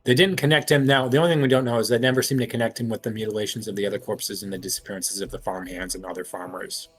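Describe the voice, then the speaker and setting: A man's voice: fairly low pitch, steady tone, very fast, husky. A man talking casually and analytically as he works through a mystery at length as a tabletop game player. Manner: analytical, reasons aloud at length.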